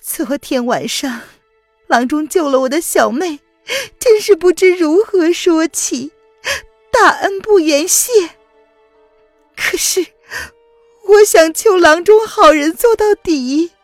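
Reader doing a young woman begging, teary, way high and shaky. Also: slow pace, long pauses, no room sound, faint music behind. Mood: pleading. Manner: pleading, tearful, high-strung. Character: desperate young woman pleading, tearful.

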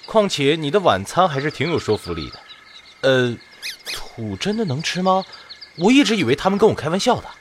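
A man playing a gentle, earnest young priest adventurer in a fantasy audio drama, polite and a little hesitant. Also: fairly high pitch, big pitch swings, a little fast, slight background noise. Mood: polite, puzzled.

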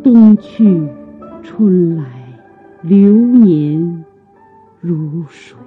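A woman's voice: medium pitch, slightly rough. A woman narrating a radio drama in a dramatic, scripted style. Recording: phone-like, muffled audio under music. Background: music.

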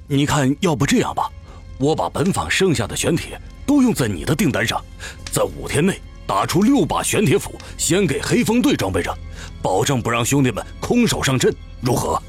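A man's voice: medium pitch, huge pitch swings, normal speed. Man playing a placating tiger-demon father blacksmith. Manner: pleading, deferential. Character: beleaguered, placating middle-aged tiger-demon father, master smith. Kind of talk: audio drama acting. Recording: music behind the voice.

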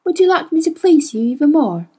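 A woman voicing a manipulative, seductive woman who coaxes secrets in a soft, purring way. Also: sits high, very animated, fairly quick, pitched above the performer's own voice. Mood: coaxing.

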